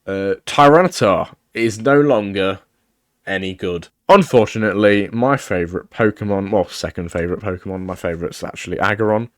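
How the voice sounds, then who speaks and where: A young man with a croaky voice from a cold, giving casual, opinionated gaming commentary. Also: on the low side, moderate pace, no echo, clean studio sound. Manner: chatty, opinionated, thinking aloud. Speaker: gaming video creator. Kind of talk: casual tier-list commentary.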